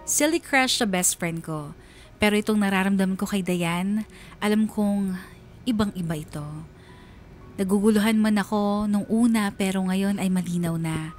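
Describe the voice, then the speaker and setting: Radio drama lead, female actor: lovelorn young woman, mid-pitched, earnest confessional narration over music. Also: normal speed, natural voice. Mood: confessional, yearning. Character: lovelorn young woman secretly in love with best friend's girlfriend.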